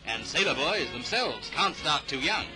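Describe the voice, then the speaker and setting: A man's voice: a little high, big pitch swings, normal speed, rough recording. A British man delivering scripted 1930s newsreel commentary in a clipped manner, on a vintage soundtrack. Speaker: newsreel narrator. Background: music.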